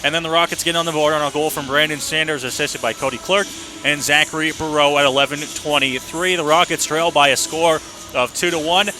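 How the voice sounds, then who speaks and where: A man's voice: fairly high pitch, fairly even intonation, fairly quick, bright, forceful tone, faint music behind. A man working as a play-by-play announcer, giving live hockey commentary on the radio.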